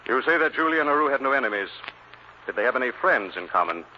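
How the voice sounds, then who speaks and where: A man's voice: mid-range voice, sing-song, quick pace, close to an everyday voice. An actor playing a suave young man of wealth who questions people pointedly, in old-time radio drama style. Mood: probing, controlled. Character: suave, probing wealthy young male amateur sleuth. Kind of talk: 1940s radio drama acting. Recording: muffled, noisy old radio recording.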